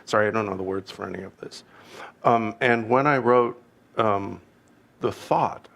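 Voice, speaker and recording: A man with a Canadian accent giving an informal dharma teaching, talking in a halting, digressive way with wry, self-deprecating humor. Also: fairly low pitch, creaky, a bit bright. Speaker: Buddhist teacher.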